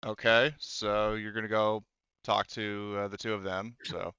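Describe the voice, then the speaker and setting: A man's voice: on the low side, little pitch movement, a little slow, sharp tone, clear, resonant tone, no echo, highs cut off. A man talking casually as a game master, rambling and hedging as he thinks aloud. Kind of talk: casual tabletop game talk.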